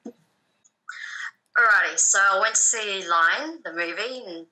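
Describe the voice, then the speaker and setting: A woman in her thirties, from Thailand and raised in Australia, answering interview questions in a soft, hesitant way with frequent pauses. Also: medium pitch, low-quality recording. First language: Thai. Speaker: guest. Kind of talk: personal story interview.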